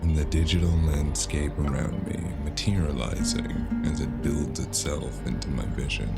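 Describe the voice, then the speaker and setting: An actor playing a gruff, weary cyberpunk investigator, narrating his own story in a deadpan, noir-like audio drama style with a gravelly voice. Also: rumbling low, moderate pace. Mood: brooding. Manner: deadpan, profane. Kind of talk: noir-like scripted narration and dialogue. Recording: music behind the voice.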